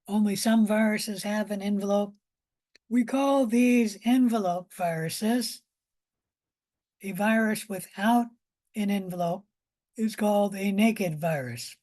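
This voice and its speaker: Hoarse, slow-paced woman giving a lecture. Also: mid-range voice, warm tone. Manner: unhurried explaining with pauses and repetition. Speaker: college biology lecturer. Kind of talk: informal recorded lecture.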